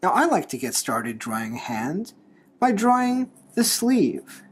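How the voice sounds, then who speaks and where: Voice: mid-range voice, very animated, measured pace, a little hiss or hum. A tutorial host talking through a drawing step by step in a playful, encouraging manner. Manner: playful, light humour, encouraging.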